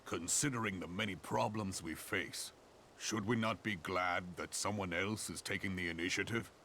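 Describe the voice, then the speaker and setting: An actor playing a loyal, measured military general in a game cutscene, speaking formally and with steady, courtly reassurance. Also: male voice, low voice, slight background noise. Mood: measured, respectful. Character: loyal, measured male general counselling his sovereign. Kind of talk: scripted game cutscene acting, formal archaic drama.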